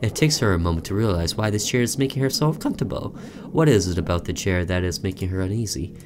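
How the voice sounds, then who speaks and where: A man's voice: low-pitched, expressive, quick pace, noisy background. A man doing let's play commentary, reading a visual novel aloud theatrically and breaking off for offhand asides.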